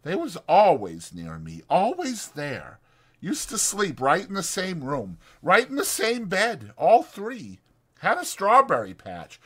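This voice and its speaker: A man performs a bitter, brooding stable hand from a dramatized audiobook, lonely and scornful. The voice sits high and swings widely, with bright, emphatic, folksy rural speech. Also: slightly rough, full character voice. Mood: dreamy, wistful. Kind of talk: dramatized audiobook character acting.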